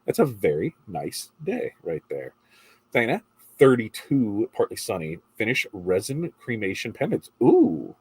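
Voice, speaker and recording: Husky, congested man with a deep voice. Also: very animated, unhurried, a little mellow, no echo. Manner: chatty, rambling, asks viewers questions. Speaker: livestream host reading viewer comments. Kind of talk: casual morning livestream chat.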